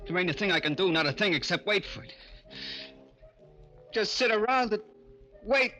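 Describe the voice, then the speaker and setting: An actor playing a nervous, timid young American small-time crook in an old-time radio crime drama, hesitant and slangy. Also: male voice, high-pitched, animated, normal speed, pushed and bright, muffled recording. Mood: despairing. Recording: vintage radio recording with music behind.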